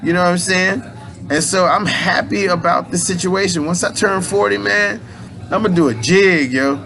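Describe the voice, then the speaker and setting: A man's voice: high voice, moderate pace, vocal fry, sharp tone. A man in his late thirties giving a rambling, casual spiritual pep talk in loose slang, restarting his sentences often. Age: 30s. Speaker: aspiring minister and motivational speaker.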